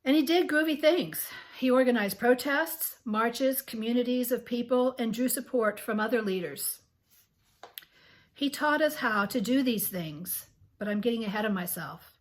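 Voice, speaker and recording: Woman in her sixties, scratchy voice, channel host, earnest soft reading, clears her throat. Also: on the high side, normal speed. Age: 60s. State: scratchy voice from illness. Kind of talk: reflective reading to camera.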